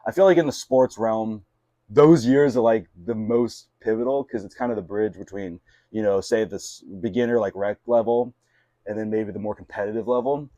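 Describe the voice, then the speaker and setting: A young man hosts a casual podcast conversation, talking in a loose, rambling way full of "like" and restarted phrases. His first language was Mandarin, though his speech here is relaxed everyday chat. The sound is close and dry, with no room echo. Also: fairly low pitch, normal speed. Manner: rambling, filler-heavy, many restarts. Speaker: podcast host.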